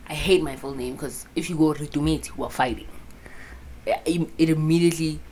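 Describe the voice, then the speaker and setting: Low-voiced young South African woman. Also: a little mellow, a little hiss or hum, poor audio. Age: 20s. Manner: rambling, chatty, swears freely, switches into local words. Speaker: vlogger. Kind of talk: casual chat to camera. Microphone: phone camera with a clip-on mic.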